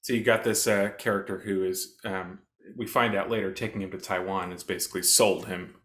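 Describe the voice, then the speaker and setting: A man with a lowish voice talks through artwork in a measured, thoughtful way. He often stops on small fillers while he looks for the right word. It is relaxed co-host chat about art. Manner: thoughtful, searching for words with frequent uh fillers. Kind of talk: casual art discussion.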